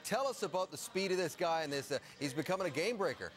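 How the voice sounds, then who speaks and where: A man's voice: a little high, expressive, fast talker. A middle-aged man hosting a live sports broadcast from a studio, putting questions to a guest.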